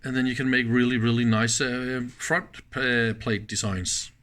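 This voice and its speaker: A man presenting a casual hands-on demonstration, speaking in halting pieces and often checking in with short questions. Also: mid-range voice, flat delivery, a bit bright. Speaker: presenter of electronics teardown videos.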